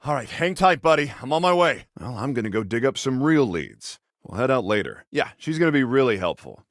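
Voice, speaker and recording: An American police officer from a video game, a protective big-brother type with a sarcastic edge. His pitch moves around a lot as he cautions and needles. The recording is close and dry. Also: sing-song, fairly quick, no echo. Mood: steady, encouraging, then sarcastic, exasperated. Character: exasperated protective adult cop, sarcastic older brother.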